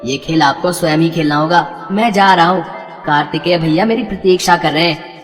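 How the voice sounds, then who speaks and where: A woman's voice: low-pitched, a little fast, long reverb tail, soft background music, natural voice. A woman voicing a polite, honest boy god, a respectful young son in a devotional story. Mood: earnest, refusing. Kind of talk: animated devotional story dialogue.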